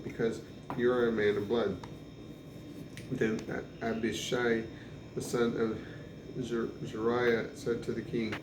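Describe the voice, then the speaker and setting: Man reading scripture aloud, flat and echoey. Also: medium pitch. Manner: halting, stumbles over names and restarts. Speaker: Bible reader. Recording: echoey room with clear background noise.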